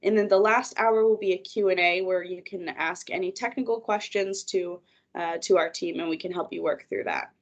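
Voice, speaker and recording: A woman's voice: on the low side, fairly even intonation, normal speed, lo-fi sound. A woman, a project manager presenting a webinar over a video call, congested from a cold, guiding listeners through her slides in an organized way.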